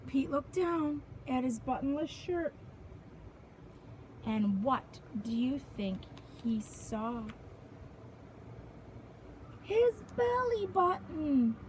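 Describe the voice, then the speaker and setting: A woman reading a picture book aloud to small children in an expressive, sing-song teacher's manner, pausing to ask simple counting questions. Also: high voice, slight background noise, rough recording.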